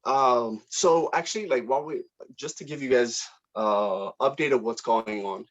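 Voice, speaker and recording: A man talking casually and a little haltingly as he leads an informal meeting as a director, polite and organizing. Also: medium pitch, fairly bright tone. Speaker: film director leading a table read. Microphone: video call. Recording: dull, lo-fi video call audio.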